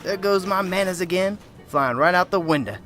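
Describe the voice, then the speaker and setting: A man's voice: high voice, moderate pace, over music, natural voice. An actor playing a talkative, affable male small-town pastor in an amateur radio drama. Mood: genial. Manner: effusive, self-deprecating.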